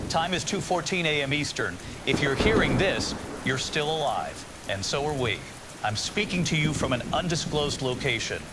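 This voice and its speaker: A man playing a grave, surviving emergency radio newscaster, reading a formal, measured bulletin in an audio drama. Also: mid-range voice, close to an everyday voice. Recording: clear hiss with rain noise behind.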